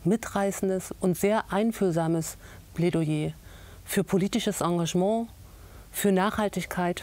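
A woman in her forties introduces a film series from an empty cinema. Her voice sits a touch low and sounds mellow and warm. She delivers measured, clear presenting on a lapel mic. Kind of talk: scripted introduction to camera.

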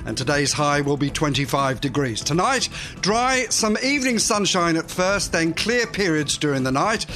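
A man in his sixties hosts a local radio morning show in a small studio. His voice sits a little high for a man, and his delivery is clear and chatty with light jokes. Soft music plays faintly underneath. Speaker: radio presenter. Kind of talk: radio show chat and behind-the-scenes tour. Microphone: studio microphone, headphones on.

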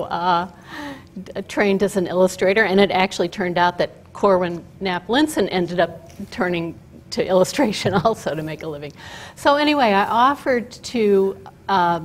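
A woman's voice: lowish voice, a little hiss or hum. Older woman lecturing from a podium. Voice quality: loud voice. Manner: chatty storytelling with fillers. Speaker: guest speaker. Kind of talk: lecture with slides. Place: auditorium stage at a podium. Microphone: podium mic.

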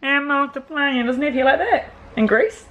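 Raspy-voiced young New woman vlogging. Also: high voice, normal speed, fairly bright tone, lo-fi sound. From New Zealand. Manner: chatty, filler-heavy with frequent "like". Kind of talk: casual vlog talk to camera. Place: apartment living room and parked car. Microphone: camera held at arm's length.

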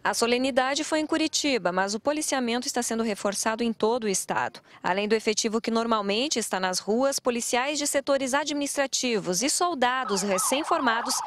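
Female field reporter, Brazilian accent, high pitch. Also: fairly quick, few pauses, no echo. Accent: Brazilian Portuguese. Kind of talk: news report. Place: outdoors on a city street. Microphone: handheld mic.

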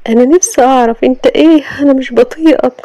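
A storyteller voicing a proud, quick-tempered young wife with an Egyptian accent, snapping out indignant retorts. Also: female voice, high voice, dark tone, dry recording, pitched above the performer's own voice, close to an everyday voice, poor audio. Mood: defiant. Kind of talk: storybook audio drama.

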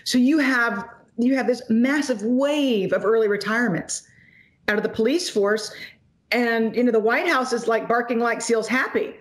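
Woman in her forties, guest economist on a video call; emphatic, quick, slightly high-pitched delivery. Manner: emphatic, quick, gesturing while talking. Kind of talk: animated interview commentary. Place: home office in front of a logo backdrop. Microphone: video call webcam.

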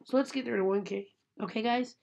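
A woman's voice: fairly high pitch, fairly quick, gravelly, muffled recording, very noisy. A woman, sick with a cold and sounding nasal, chatting casually to a webcam in a small room. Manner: chatty, apologetic. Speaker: unboxing vlogger. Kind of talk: casual chat to camera. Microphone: webcam at desk.

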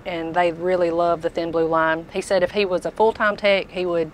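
A woman's voice: on the low side, little pitch movement, moderate pace, slight background noise, poor audio. A woman, casually showing products as a tool dealer inside a packed truck. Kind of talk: casual product walk-through chat.

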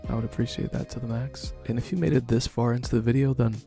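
A man's voice: medium pitch, little pitch movement, quick pace, mellow. A man casually addressing his viewers as an online creator, friendly and thankful, signing off a video. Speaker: online audio roleplay creator. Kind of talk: casual channel outro. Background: music.

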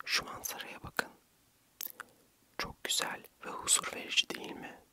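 Young male ASMR vlogger, fully whispered narration, gentle and breathy, close-miked. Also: normal speed. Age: young adult.

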